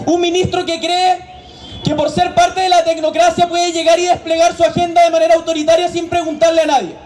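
A man's voice: very high voice, level tone, fairly quick. A young man giving a fiery protest speech from an outdoor stage into a handheld mic. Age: young adult. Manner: fiery, shouted oratory. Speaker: student leader. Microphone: handheld mic over a PA.

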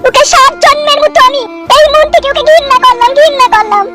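A woman voicing a hurt, quick-to-scold young village daughter in a comedy cartoon, with a squeaky high voice. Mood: remorseful, bitter. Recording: loud music under the voice.

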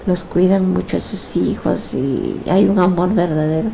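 An older woman lying down after a hypnosis session, answering slowly and hesitantly, with restarts and soft agreement. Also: on the low side, vocal fry, a little hiss or hum, poor audio. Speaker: hypnosis client. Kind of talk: casual talk after a session. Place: lying down in an indoor therapy room.

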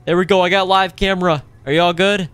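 High-pitched, clear-voiced young-sounding male gamer. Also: quick pace. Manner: excitable, playful, whoops and exclaims. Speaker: gaming video host. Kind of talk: casual improvised roleplay commentary over gameplay.

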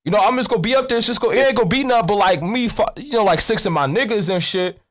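A man's voice: high-pitched, rapid-fire, fairly bright tone. A young American man making a prank call in casual street slang, rambling, nonchalant and profane, close on a studio mic. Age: young adult. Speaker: prank caller and streamer. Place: indoors at a streaming desk. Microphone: close studio mic with pop filter, headset on.

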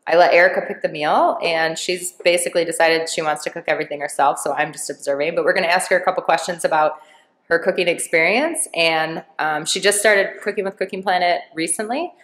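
A woman's voice: on the low side, fairly quick, a bit bright. A woman chef interviewing a friend in a home kitchen, chatty and friendly, with plenty of fillers. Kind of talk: casual interview. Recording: roomy home kitchen sound.

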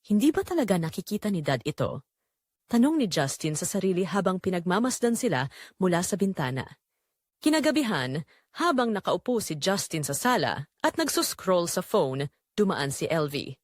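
A woman reading scripted dramatic story narration in short, measured, suspenseful phrases. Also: lowish voice, very animated, no room sound, clean studio sound.

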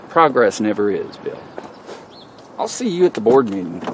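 An actor playing an upbeat small-town school principal, folksy and persuasive, outdoors by a car. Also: male voice, lowish voice, big pitch swings, measured pace, over music.

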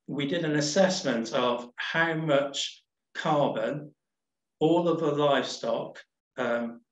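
A middle-aged man giving a casual slide talk as a nature reserves manager, relaxed and rambling with many ums and restarts. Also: mid-range voice, reverberant, rough recording. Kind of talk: casual presentation over slides. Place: home room on a video call.

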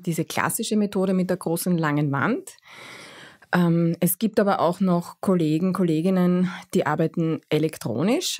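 A middle-aged woman with a Viennese accent, a guest explaining her drawing work in an interview, pausing often with fillers as she thinks. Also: lowish voice, darkish tone. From Vienna, Austria. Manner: thoughtful, frequent hesitations. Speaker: guest, graphic recorder. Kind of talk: interview answers.

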